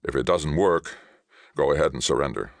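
A man's voice: rumbling low, moderate pace, gravelly, dry recording, clean studio sound. A man voicing a hostile, curt government official in command, snapping in audiobook dialogue. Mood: tight, curt.

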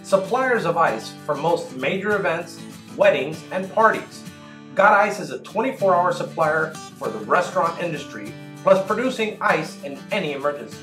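A woman reading local radio-style commercials in a smooth, upbeat voice-over. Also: on the low side, very animated, moderate pace. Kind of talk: read commercial. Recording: roomy with music bed.

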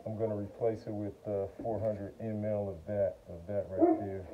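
A middle-aged man giving a casual, halting how-to in folksy slang while working outside, recorded on a phone camera. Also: lowish voice, level tone, narrow, tinny audio. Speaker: vlogger demonstrating generator maintenance. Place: outside by a wooden porch. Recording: noisy outdoor phone recording.

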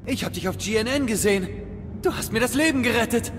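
Man acting an anxious high-voiced hostage. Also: expressive, fast talker, noisy background. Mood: relieved. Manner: anxious, eager. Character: nervous, grateful male office boss held hostage. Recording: reverberant, music behind.

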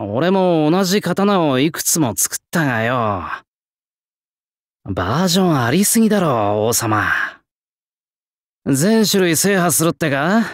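An actor playing a proud young male swordsmith spirit in an anime-style game, talking in a rough, blunt, old-fashioned working-class way. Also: fairly high pitch, no room sound, clean studio sound. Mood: gruff, concerned. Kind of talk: anime-style mobile game character lines.

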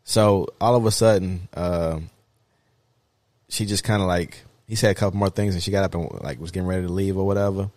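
Low, dry-sounding man chatting casually. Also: steady tone, a little fast, darkish tone, no room sound. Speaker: podcast co-host. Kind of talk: casual chat, anecdotes.

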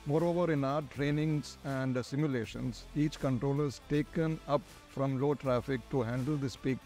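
A man's voice: mid-range voice, gravelly, a little mellow. A middle-aged man with an Indian accent, giving prepared interview answers as an air traffic controller. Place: large glass-walled airport tower room. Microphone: lapel. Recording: music under the voice. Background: background music.

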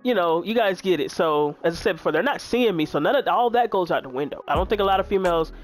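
A woman in her twenties with a deep voice, chatting candidly to her viewers while she plays a video game. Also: expressive, fast talker, soft background music. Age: 20s. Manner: frank, chatty, talks straight to viewers. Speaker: gaming YouTuber.

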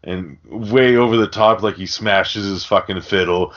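A low-voiced man talks casually as a podcast host. He rambles and swears freely, and the recording is dull and lo-fi. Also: unhurried, a bit bright. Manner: rambling, profane. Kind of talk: casual film discussion. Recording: dull, lo-fi audio.

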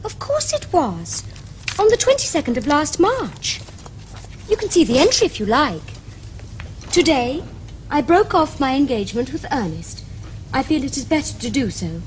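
A woman's voice: sits high, very animated, moderate pace, pauses often, slight background noise. An actress playing a prim, romantic young Victorian lady in a period comedy, with precise, formal Received Pronunciation. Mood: brisk, assured. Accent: English Received Pronunciation. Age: young adult. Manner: precise, formal, earnest diction. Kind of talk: period stage comedy acting for television.

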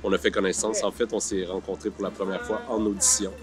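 A deep-voiced man answers interview questions in a halting way, pausing often. He is outdoors, with music clearly playing behind him. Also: flat delivery. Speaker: actor being interviewed. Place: outdoor park film set.

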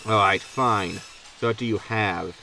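A man's voice: lowish voice, unhurried, gravelly, bright, forceful tone, far from the mic, a little hiss or hum, exaggerated voice, poor audio. An actor playing a grumpy, sarcastic male spaceship captain in a sci-fi comedy audio drama, with a dry manner. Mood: skeptical, sarcastic. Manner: dry, sardonic.

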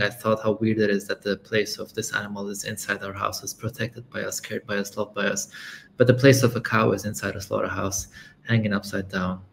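A low-voiced man from Lebanon gives a calm, earnest talk over a video call. His voice is gravelly and flat, and faint noise sits under the webcam sound. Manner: calm, earnest storytelling with frequent ums. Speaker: guest speaker. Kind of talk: online talk with slides. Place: home room with bookshelves. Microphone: video call webcam mic.

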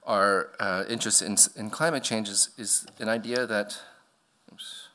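Male architect, middle-aged, low and bright voice, hesitant podium lecture with fillers. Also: steady tone, measured pace. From born in Vietnam, raised in the US. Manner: hesitant, many fillers and restarts. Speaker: architect giving a talk. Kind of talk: lecture with slides. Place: dark auditorium stage at a podium.

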